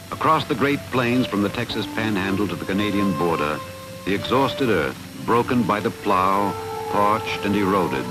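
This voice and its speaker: A man's voice: low-pitched, poor audio. A man giving scripted, dramatic narration for a historical documentary.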